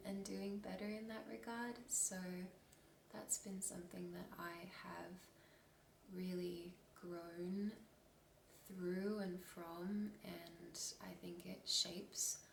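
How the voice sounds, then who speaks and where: Australian woman, 20s, hushed half-whisper asmr talk, low gravelly voice, kinda echoey and off-mic. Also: distant mic, a little hiss or hum. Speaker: ASMR content creator. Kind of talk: soft-spoken ASMR chat.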